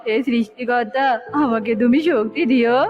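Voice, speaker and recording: A woman's voice: on the high side, fairly even intonation, normal speed, close to an everyday voice. A woman voicing a brave, devoted young bird in a cartoon, earnest and resolute. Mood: hopeful. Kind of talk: cartoon dubbing. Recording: dull lo-fi audio with music bed. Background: music.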